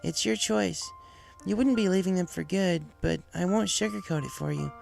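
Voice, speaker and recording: A man's voice: high voice, moderate pace, vocal fry, pitched above the performer's own voice. A man voicing a confident, understanding female pegasus team captain in a story reading, casual and direct, with music behind. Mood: frank, understanding.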